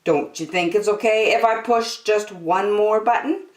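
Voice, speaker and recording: A woman's voice: medium pitch, unhurried, echoey room, far from the mic, natural voice. A woman voicing a curious, impulsive five-year-old girl in a storybook, eager and a little hesitant. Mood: eager, self-coaxing.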